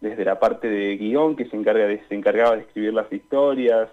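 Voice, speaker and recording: A man's voice: on the low side, fairly quick. Young Argentine man, dull lo-fi call. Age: young adult. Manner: hesitant, searching for words. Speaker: radio interview guest, student. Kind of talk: casual conversational interview answers. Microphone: remote call to a radio show.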